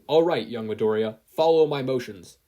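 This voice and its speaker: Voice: mid-range voice, normal speed, mellow, muffled recording, natural voice. A performer voicing an earnest older male hero mentor who gives emphatic instructions in an audio story. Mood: instructing.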